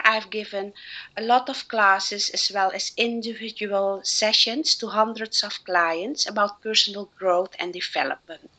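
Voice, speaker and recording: A middle-aged woman from Suriname whose first language is Dutch, speaking as a guest on personal development and pausing often to find her words. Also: medium pitch, sharp tone, rough recording. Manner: earnest, with frequent fillers and restarts. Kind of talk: interview answers.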